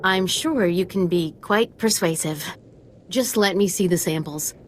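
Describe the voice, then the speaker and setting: A woman's voice: on the low side, measured pace, slight background noise, lightly acted. A woman acting an earnest, idealistic young female scientist in a video game, speaking expressively. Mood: intrigued.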